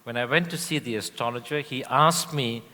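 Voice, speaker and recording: A man from Indonesia, a guest speaker telling his life story on stage in a relaxed way with frequent fillers. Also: mid-range voice, normal speed. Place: large event hall on stage. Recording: long hall reverb.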